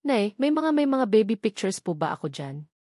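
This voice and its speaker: A woman's voice: medium pitch, sing-song, normal speed, mellow, dry recording, clean studio sound. A woman narrator voicing an anxious young woman, polite, hesitant and evasive, with a Filipino accent, in an audio story. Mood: nervous, polite.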